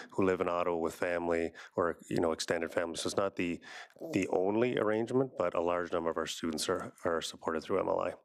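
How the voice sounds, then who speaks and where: A man on school board staff explaining a program in a measured way, with a few restarts. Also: deep voice, no echo. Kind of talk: meeting answers.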